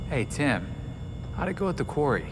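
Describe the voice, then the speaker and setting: A man's voice: on the high side, big pitch swings, moderate pace, noisy background. An actor playing a level-headed, lightly wry young male vigilante team leader in a video game. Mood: steady, reassuring. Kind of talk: video game cutscene acting.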